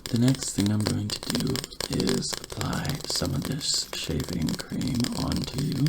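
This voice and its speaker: A man speaking softly in a breathy half-whisper, gently soothing and attentive, as a barber in an ASMR roleplay. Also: fairly low pitch, very animated, a little slow, heavy background noise. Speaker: ASMR performer playing a barber.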